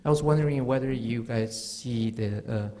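A man's voice: mid-range voice, noisy background. Hesitant man asking a question in a hall. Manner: hesitant, halting phrasing. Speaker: audience member asking a question. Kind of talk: conference Q&A question. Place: large auditorium, audience seating. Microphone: handheld mic. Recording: reverberant hall.

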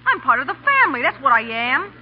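An actress playing a brassy, flirtatious American cafe owner in a 1930s radio comedy drama. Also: female voice, high voice, sing-song, fast talker. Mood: brassy, teasing. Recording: muffled, noisy 1930s radio recording.

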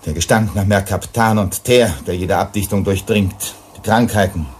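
A man's voice: on the low side, normal speed, husky. A man playing a brusque, authoritarian, irascible mining director on Venus in a German radio drama. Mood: indignant. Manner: brusque, commanding, indignant.

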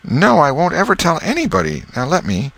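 Storybook little girl, played by a male reader: coy, eager, pleading, pitch lifted well up. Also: wildly swooping pitch, fairly quick, fairly bright tone, husky, no room sound, exaggerated voice. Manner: sweet, pleading.

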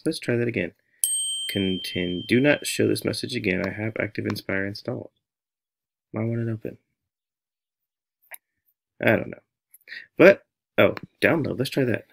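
A man's voice: fairly low pitch, creaky. A man in his thirties with an American accent, giving a casual, playful tutorial as he thinks aloud. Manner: animated, playful, talks to himself. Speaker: music teacher and tutorial host.

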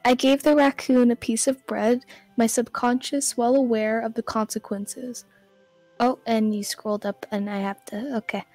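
A woman's voice: fairly high pitch, steady tone, moderate pace, darkish tone, no echo, soft background music. A young woman guest on a game stream, giggly and teasing, chatting and reading aloud. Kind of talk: casual chat and reading aloud.

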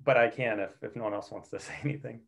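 A man's voice: medium pitch, fairly even intonation, fast, vocal fry. A man answering in a class discussion, hesitant and full of fillers. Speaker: student.

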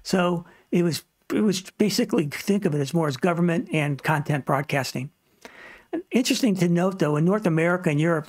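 Higher-pitched man giving an informal tutorial. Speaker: presenter of a ham radio tutorial channel. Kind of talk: informal instructional narration over slides.

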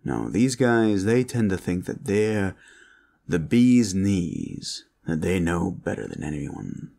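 A man playing a weary, serious and cautious protector in an audio roleplay, speaking in a measured, earnest way. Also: deep voice, big pitch swings, vocal fry, dark tone, exaggerated voice. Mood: cautious, reassuring.

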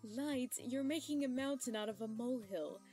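A woman's voice: sits high, moderate pace, warm tone, soft background music, lightly acted. A woman playing a firm, caring royal pony mother in a cartoon audio drama, chiding and motherly. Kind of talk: animated audio drama acting.

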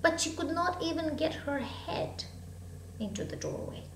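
A woman in her thirties reads a children's story expressively, with dramatic, deliberate phrasing, in a room at home. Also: very high voice. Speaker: storybook reader. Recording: echoey room, hum and background noise.

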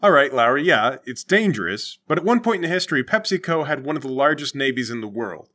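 Animated man with a bright, forceful voice. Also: mid-range voice, fairly quick. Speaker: tabletop game player. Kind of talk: casual comedic banter.

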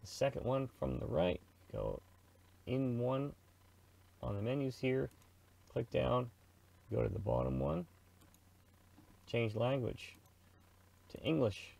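A man's voice: mid-range voice, sing-song, slow pace, vocal fry, pauses often, mellow. A middle-aged man from Canada giving a casual tutorial in a chatty, slangy, informal way, narrating his own thoughts as he goes. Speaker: presenter of a radio software tutorial. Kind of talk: casual screen-recorded tutorial.

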